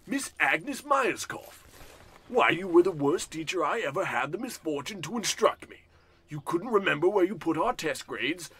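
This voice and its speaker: A man's voice: high-pitched, fairly bright tone, slightly rough, exaggerated voice. Man playing a pompous rich boss, swooping delivery. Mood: scornful. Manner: pompous, bombastic, scornful. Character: pompous, greedy, cruel, rich male boss. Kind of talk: parody of old-time radio drama.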